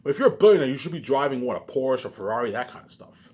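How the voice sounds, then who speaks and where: A man's voice: mid-range voice, sing-song, racing, darkish tone. A man delivering an unscripted, agitated rant, repetitive and profane, often stumbling over and repeating his words. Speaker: vlogger. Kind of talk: unscripted rambling rant to camera.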